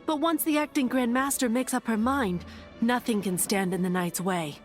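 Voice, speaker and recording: A woman's voice: a little high, moderate pace, soft background music, natural voice. A woman voicing a stern, pious church sister in a video game, speaking in a prim, formal way.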